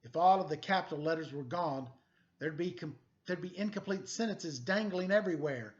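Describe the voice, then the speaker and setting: A man's voice: a little high, animated, normal speed, dull audio. A middle-aged man reading a story aloud for children in a playful, quizzing manner. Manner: playful, quizzing young listeners. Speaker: story-time teacher reading a picture book aloud.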